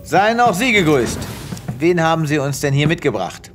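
An actor playing a self-important space veterinarian with a pompous manner in a comedic audio drama. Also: male voice, on the high side, big pitch swings, normal speed, over music. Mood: brisk, kindly. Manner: pompous, reassuring bedside chatter.

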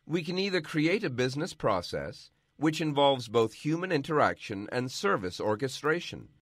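Man narrating a software tutorial, lively pitch. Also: dry recording, clean studio sound. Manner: measured, instructional. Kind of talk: scripted narration.

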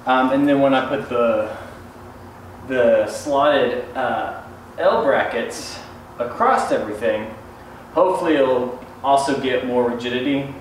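A young man talking casually and a bit haltingly to camera, explaining a DIY job as a vlogger. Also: medium pitch, slight background noise, poor audio. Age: young adult. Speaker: DIY bus conversion vlogger.

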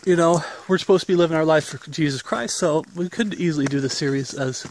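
A man in his forties with a slightly high voice talks into a handheld camera held at arm's length as he walks an outdoor trail. He gives a loose, rambling update in an earnest preaching tone while getting over an illness. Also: quick pace. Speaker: ministry leader. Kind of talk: walk-and-talk video update. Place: outdoors on a wooded path.

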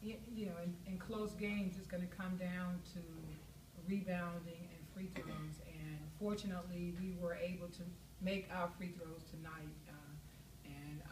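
A middle-aged woman coach answering questions at a post-game press conference in a measured, thoughtful way, often pausing with "you know". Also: on the low side, fairly even intonation, distant mic. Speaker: head basketball coach. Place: press room with backdrop, seated at table. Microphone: table mic, recorded from a camera across the room. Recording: echoey room recorded from afar, noisy.

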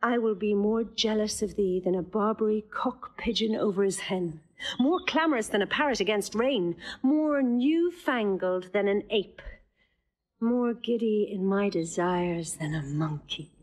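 A woman's voice: mid-range voice, lightly acted, low-quality recording. A woman acting a witty young woman in love, teasing and playful in a stage drama. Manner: playful, quick-witted, teasing. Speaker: actor playing Rosalind.

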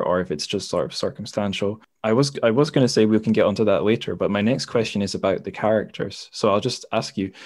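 Male interviewer with a slightly deep voice, informal and self-deprecating, feeling his way through questions. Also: a little mellow, no echo, clean studio sound. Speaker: podcast host, interviewer. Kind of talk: casual interview chat.

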